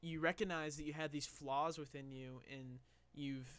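A young man with a slightly hoarse voice chatting casually while reacting to music, recorded on a headset in a small bedroom. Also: fairly high pitch, fairly even intonation, normal speed, a bit bright, no room sound. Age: young adult. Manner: chatty, rambling, with restarts.

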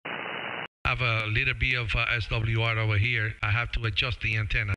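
A man's voice: lowish voice, flat delivery, normal speed, noisy background. A middle-aged man making casual ham radio contact as the operator, speaking hesitantly and repeating words and call signs, close on a studio microphone. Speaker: amateur radio operator and live streamer. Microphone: boom-arm studio microphone close to mouth, with headset.

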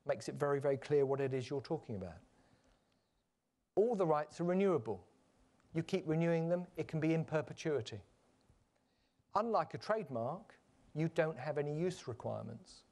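A man giving a measured, explanatory conference presentation, with frequent fillers and restarts. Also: on the high side, lively intonation, darkish tone. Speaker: conference panel speaker. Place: conference stage.

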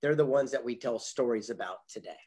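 A man in his fifties with a husky voice, telling motivational anecdotes emphatically on a video call and acting out the dialogue. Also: medium pitch, fairly quick, a little mellow. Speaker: motivational speaker and author, interview guest. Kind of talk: animated motivational storytelling in an online interview. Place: indoor home office with memorabilia wall. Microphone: video call, computer mic.

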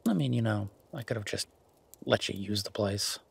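A man playing a shy, gentlemanly boyfriend in an intimate romantic audio roleplay, speaking softly and haltingly with gentle teasing. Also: on the low side, creaky, breathy edge, full character voice. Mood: bashful, affectionate. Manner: hesitant, soft-spoken, gently teasing.

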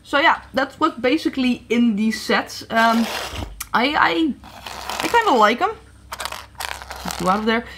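A woman's voice: a little high, expressive, unhurried, pauses often, background noise. A woman from the Netherlands chatting casually through a toy review, hesitant with many fillers and restarts. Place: indoor room at a tabletop set.

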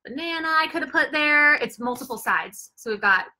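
Bright upbeat young woman demoing baby gear. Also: sits high, expressive, normal speed. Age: young adult. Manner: chatty, upbeat, lots of "like" and "um". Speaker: product reviewer and mother. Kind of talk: casual product demo to camera. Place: living room floor beside a baby play mat. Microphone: camera a few feet away.

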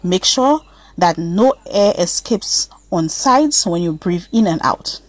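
A woman's voice: on the low side, lively intonation, slow pace, no room sound. A woman voicing a patient, instructive mother in a children's story, explaining step by step.

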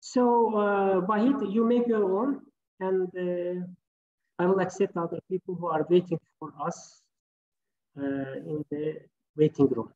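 A woman's voice: lowish voice, a little slow. A woman hosting an online meetup over a video call, speaking hesitantly with many fillers. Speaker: meetup organizer and host. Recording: dull video call audio.

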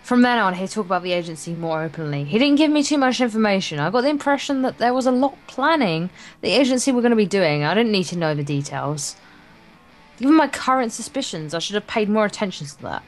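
A woman's voice: mid-range voice, animated, quick pace, faint music behind. A woman reading visual novel text aloud as a let's play co-host, dramatic and jokey. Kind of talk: story read-aloud with playful banter.

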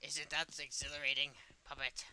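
A man's voice: on the high side, level tone, normal speed, bright, forceful tone, poor audio. A man role-playing a smug, teasing evil puppet killer in playful, mock-innocent banter over a game. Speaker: role-play gamer.

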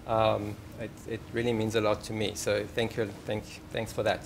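A man in his forties with a French accent, giving a formal university lecture at a podium microphone in a large lecture hall, earnest and often pausing with ums. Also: lowish voice, level tone, creaky, slight background noise. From France. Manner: earnest, warm asides, frequent ums. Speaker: professor giving inaugural lecture.

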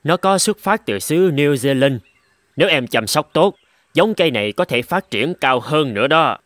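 A smug young cartoon snob. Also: male voice, fairly high pitch, expressive, normal speed, fairly bright tone, dry recording, studio quality. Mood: suave, showing off. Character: smug, snobbish young male rival, boastful and condescending. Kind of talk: animated fairy tale dubbing. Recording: background music under the dialogue.

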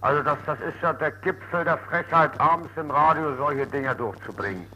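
Male caller, fairly high, forceful, indignant and blunt, dull 1950s telephone recording. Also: moderate pace, slight background noise. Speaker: complaining radio listener phoning in. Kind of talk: angry phone complaint.

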